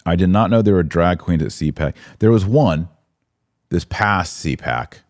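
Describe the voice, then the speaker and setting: A man's voice: low voice, lively intonation, unhurried. An American man hosting a live-stream, talking conversationally and with opinion as he answers comments and poses rhetorical questions. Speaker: podcast host and religious commentator.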